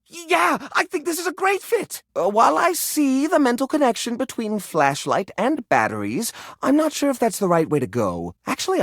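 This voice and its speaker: An actor playing a fussy, sentimental hoarder in a video game, easily flustered, talking in an earnest, rambling, expressive way. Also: male voice, extremely high pitch, no echo, clean studio sound, heavily acted. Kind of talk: video game character dialogue.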